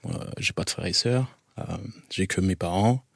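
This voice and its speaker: A man's voice: low-pitched, moderate pace, gravelly, no room sound, studio quality. A man in his thirties from Algeria, a guest photographer talking in a casual interview, hesitant with many fillers and restarts.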